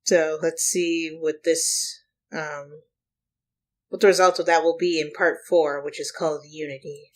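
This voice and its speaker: A woman with a low voice comments casually as a reaction host. She talks slowly and haltingly, trailing off as she thinks. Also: little pitch movement.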